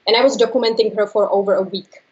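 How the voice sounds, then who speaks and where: A woman from the Czech Republic, a photojournalist, answers interview questions hesitantly, pausing with many ums and uhs. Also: mid-range voice, steady tone, a little mellow, dull audio. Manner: hesitant, many fillers and restarts.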